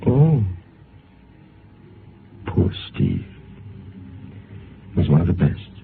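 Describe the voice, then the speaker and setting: Practical, sympathetic male doctor, low and animated, conversational, vintage radio drama on a narrow, noisy recording. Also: drawn-out words, gravelly, exaggerated voice. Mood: rueful. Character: steady, sympathetic base doctor, curious and practical. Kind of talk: 1950s radio drama acting.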